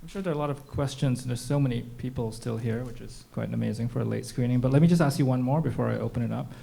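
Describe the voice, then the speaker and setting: A man moderating a post-screening Q&A, asking long, measured questions with the occasional filler. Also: medium pitch, vocal fry, warm tone, a little hiss or hum.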